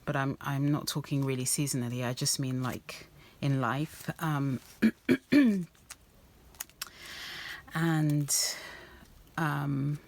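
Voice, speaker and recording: A woman rambling softly with many ums and restarts as she works on an art journal page, her voice a little croaky. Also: rumbling low, steady tone, slow, long pauses. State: slightly croaky voice. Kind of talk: casual craft-along chat.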